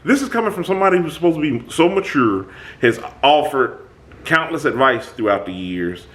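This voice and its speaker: A man hosting his own channel, talking through a personal conflict in an emphatic, animated way. His voice is booming, and he often restarts his sentences. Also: normal speed. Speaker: channel host. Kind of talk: reflective self-talk monologue.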